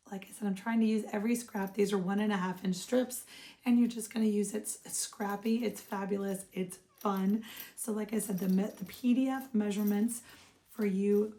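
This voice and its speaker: A woman hosting a casual craft vlog, her voice hoarse and froggy, chatting upbeat with viewers she calls friends. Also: medium pitch, warm tone. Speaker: craft shop owner and vlog host. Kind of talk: casual show-and-tell vlog.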